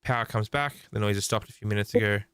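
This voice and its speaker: A man's voice: on the low side, fast talker, a bit bright, clean tone, no room sound. A man reading a visual novel aloud as a streamer, in dramatic narration. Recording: music under the voice. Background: prominent music.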